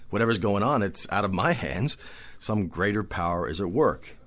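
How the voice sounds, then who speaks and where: An actor playing a genial, wry drifter in a radio drama, rambling along in a hesitant storytelling manner. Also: male voice, on the low side, expressive, normal speed, fairly bright tone. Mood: musing.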